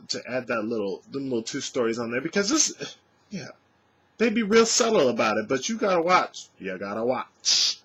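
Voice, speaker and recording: A man in his thirties, a vlogger, telling a casual story to his webcam in a small room at home, animated and swearing freely. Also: medium pitch, dull audio, slight background noise. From California. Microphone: webcam at desk distance.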